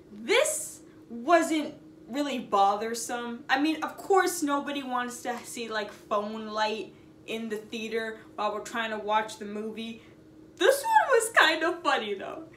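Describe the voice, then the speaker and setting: A young woman casually telling an anecdote in a chatty, animated, sarcastic way, stretching words for emphasis. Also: fairly high pitch. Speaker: storytime vlogger. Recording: roomy echo, noticeable background noise.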